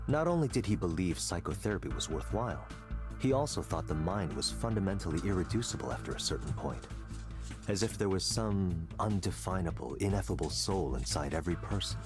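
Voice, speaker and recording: A man playing a confident, coldly intellectual tech executive in a visual novel, lecturing in a deliberate, persuasive way. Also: low-pitched, lively intonation, over music. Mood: smug, dismissive.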